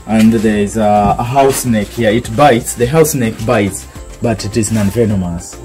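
A man's voice: fairly low pitch, unhurried, a little mellow, soft background music. A young man with a Kenyan accent giving an informal, explanatory guided tour, repeating phrases as he goes. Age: young adult. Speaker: snake park guide. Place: open-sided snake park shelter with mesh walls.

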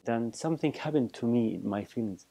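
Man in his forties, slow halting testimony, gravelly dark voice, lapel mic, soft music behind. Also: mid-range voice, animated. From Iraq. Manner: slow, halting speech with many pauses. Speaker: interviewee. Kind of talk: personal testimony interview. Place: indoor office with bookshelves.

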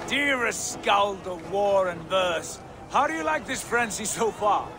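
A man's voice: high-pitched, expressive, a little slow, background noise. An actor playing an exultant Viking warrior in a video game, with a grand, declamatory way of savoring his words over music. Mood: grandly content. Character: exultant Viking warrior brother feasting in Valhalla. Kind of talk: dramatic video game acting.

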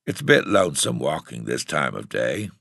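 A man voicing a frail, husky eighteen-year-old runaway tramp boy with a cocky, bitter, streetwise way of talking. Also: a bass voice, huge pitch swings, moderate pace, a bit bright, no room sound, studio quality, exaggerated voice. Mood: defiant, streetwise. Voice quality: husky, hoarse.

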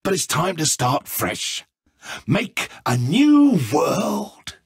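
Casting: petulant British godlike game villain, sarcastic and theatrical, hugely swooping pitch, slow deliberate delivery. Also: male voice, big pitch swings, breathy edge, no room sound, studio quality, full character voice. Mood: mocking, airy. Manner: theatrical, sarcastic, exclaiming and stammering. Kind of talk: video game character acting.

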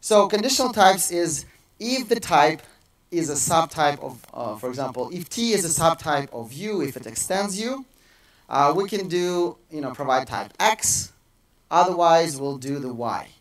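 A man in his thirties gives a technical keynote in a large dark auditorium. His voice sits slightly high, and he talks casually with frequent fillers and restarts. The sound comes from some distance off the mic. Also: expressive, moderate pace. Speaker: conference speaker.

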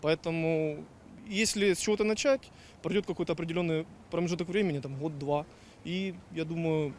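A man explains his opinion to a street interviewer step by step, pausing on fillers. His voice is a little high, and faint traffic hums in the background. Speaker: passerby. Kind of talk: street vox pop.

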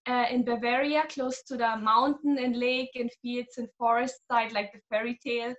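A woman's voice: fairly high pitch, level tone, normal speed, lo-fi sound. A woman in her thirties from Germany, a guest healer talking animatedly over a video call and laughing often. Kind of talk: casual video-call interview. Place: small room at home.

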